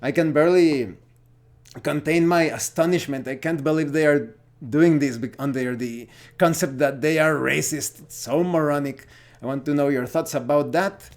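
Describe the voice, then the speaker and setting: A man's voice: a little high, normal speed. A man, a Spanish speaker, giving an unscripted opinion monologue as host of a gaming channel, often searching for words. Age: 40s.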